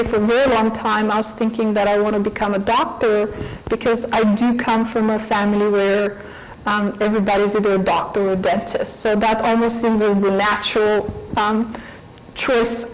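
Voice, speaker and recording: A woman in her forties from Bulgaria with a Bulgarian accent, giving a thoughtful interview as a professor, with frequent pauses and fillers. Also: medium pitch, rough recording. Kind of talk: interview answers.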